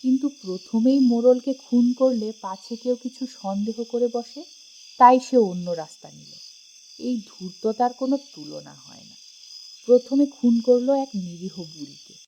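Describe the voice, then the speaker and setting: Woman audiobook narrator, soft dark tone, dramatic suspense reading, faint night insects behind. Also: on the high side, a little slow, dull audio, a little hiss or hum. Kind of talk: scripted suspense story narration in first person.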